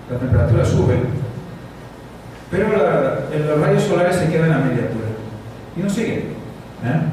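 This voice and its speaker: A man from Spain with a Spanish accent, giving a conference talk with frequent pauses and "eh" fillers. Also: medium pitch, darkish tone. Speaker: conference speaker. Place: conference hall. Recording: distant mic in echoey hall, noisy.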